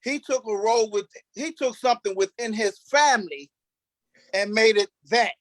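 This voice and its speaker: A man's voice: sits high, moderate pace, dry recording. A man who acts on stage, talking informally in an interview and repeating phrases emphatically for stress. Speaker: stage play cast member, actor.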